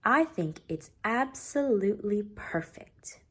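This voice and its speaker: Storybook music teacher, a kind dreamy lady, slow and emphatic, proudly reassuring, played by a woman. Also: mid-range voice, animated, breathy edge. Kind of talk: children's storybook character voice.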